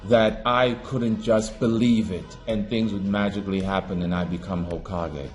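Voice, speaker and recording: A fairly low-voiced man from Africa delivers a fervent, preachy monologue to his viewers. He hammers points with raised emphasis, then sinks into low, muttered asides. Soft music plays faintly behind him. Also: fairly even intonation, normal speed. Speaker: online commentator. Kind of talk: impassioned monologue to camera.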